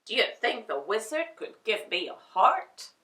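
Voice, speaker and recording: A woman voicing a gentle, wistful, rusted tin man in a storybook, stiff and deliberate. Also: fairly high pitch, big pitch swings, exaggerated voice. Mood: hopeful. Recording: roomy, distant microphone.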